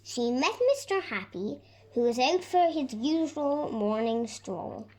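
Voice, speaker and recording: A woman reading a children's story aloud in an expressive, deliberate storytelling manner. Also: sits high. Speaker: storybook reader. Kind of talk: scripted narration of a children's story.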